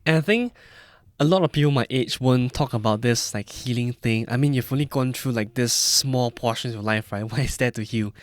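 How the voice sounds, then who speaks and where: A man's voice: mid-range voice, normal speed, no echo. A man in his early twenties with a Malaysian-Singaporean accent, telling personal stories as a podcast guest. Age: early 20s. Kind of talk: personal storytelling in conversation.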